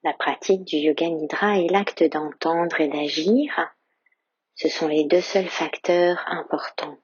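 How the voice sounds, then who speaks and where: Slow soft-spoken woman guiding meditation. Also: low voice, breathy edge, no room sound, highs cut off. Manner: slow, soft-spoken guidance with whispered phrases. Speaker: yoga teacher. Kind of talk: guided yoga nidra meditation.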